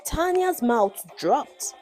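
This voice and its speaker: Lady narrating a dramatic folktale, higher voice, swoops all over. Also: sing-song, very slow, a little mellow, soft background music. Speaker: storyteller.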